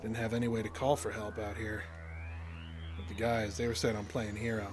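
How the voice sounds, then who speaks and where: A man's voice: on the low side, little pitch movement, a little fast, natural voice. Male actor, haunted fishing guide, American, rustic folksy storyteller, plainspoken, uneasy, horror audio drama, music behind. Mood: grim, recounting.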